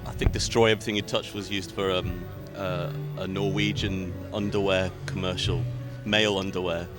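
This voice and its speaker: A man, a musician, answering interview questions in a relaxed, wry way. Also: deep voice, normal speed. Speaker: musician guest. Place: festival backstage. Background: loud music.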